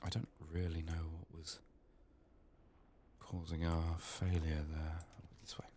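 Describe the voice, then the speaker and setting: A man giving soft-spoken, partly whispered game playthrough commentary, murmuring his thoughts aloud in an unhurried, casual way, his voice strained from being sick. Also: deep voice, little pitch movement, a little hiss or hum. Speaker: gaming video host.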